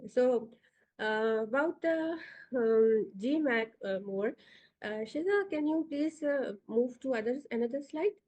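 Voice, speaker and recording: A woman's voice: high-pitched, lively intonation, measured pace, a little mellow. A woman in her forties with a Pakistani accent, giving an online orientation talk on a video call with many hesitant fillers. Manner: hesitant, many fillers, directs slide changes. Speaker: institute founder giving orientation.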